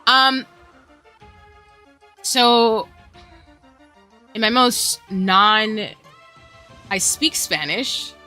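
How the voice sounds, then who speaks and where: Chatty young woman with a bright voice. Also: fairly high pitch, extremely slow, pauses often, faint music behind. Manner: rambling and chatty, many asides and fillers. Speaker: game streamer. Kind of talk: casual chat with stream viewers while gaming.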